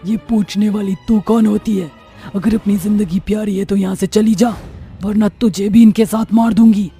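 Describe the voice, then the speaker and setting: बदले की आग वाली युवा चुड़ैल बनी एक औरत, दबी-धुंधली आवाज़. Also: female voice, medium pitch, steady tone, normal speed, mellow, slightly rough. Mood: threatening. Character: vengeful young female witch, wronged ghost of a patient. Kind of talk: Hindi cartoon horror story acting. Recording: faint music underneath.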